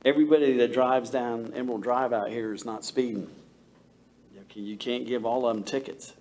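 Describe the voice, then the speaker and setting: A man's voice: mid-range voice, steady tone, fairly quick, a little mellow. A man, a local builder, arguing his point at a town meeting in a folksy, emphatic way with many restarts. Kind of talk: public comment at a meeting. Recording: faint room noise, meeting hall.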